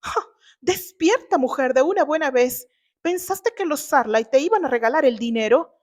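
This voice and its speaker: A woman plays a stern older family patriarch in an audio novel. He is gruff and indignant, scolding and demanding answers, in a voice that stays fairly high for such a man. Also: animated, moderate pace.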